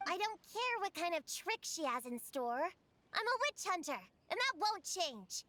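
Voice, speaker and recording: A woman's voice: very high voice, sing-song, measured pace, pushed and bright, full character voice. An actress playing an emotional, stubborn young girl witch hunter in an anime-style game. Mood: defiant. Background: game music.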